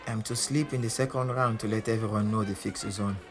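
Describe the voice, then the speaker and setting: A man's voice: lowish voice, fairly even intonation, fast talker, close to an everyday voice. An actor playing a proud, defiant male boxer who tells his own story in dramatic first person. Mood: proud, intense. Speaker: narrator in an audio drama. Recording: music behind the voice.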